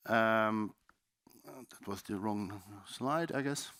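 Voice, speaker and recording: A man's voice: on the low side, very slow, a bit bright, dry recording, clean studio sound. A man with a Dutch accent giving a loose, chatty seminar presentation in a conference room, with many fillers and restarts. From Netherlands. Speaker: conference speaker.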